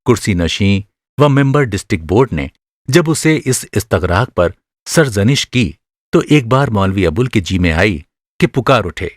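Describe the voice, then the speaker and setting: Low, expressive male storyteller. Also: no room sound, studio quality. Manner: expressive, measured storytelling in literary phrasing. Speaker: narrator. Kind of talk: dramatised literary short-story narration.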